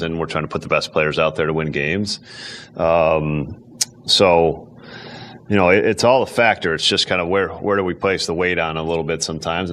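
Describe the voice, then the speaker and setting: A man with a low voice speaks in an unscripted sports interview. He is measured and halting, feeling his way through each answer. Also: pushed and bright.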